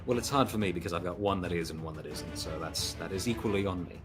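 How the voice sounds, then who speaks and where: A man with a slightly low voice talks casually as a player at a tabletop game. Music plays clearly behind him. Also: fast. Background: background music.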